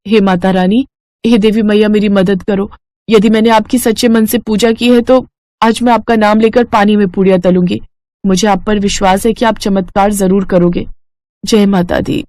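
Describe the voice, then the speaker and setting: A woman's voice: mid-range voice, fairly even intonation, normal speed, warm tone, dry recording, lightly acted. A woman playing a humble, devout young bride with a polite and earnest way of speaking. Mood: devout pleading.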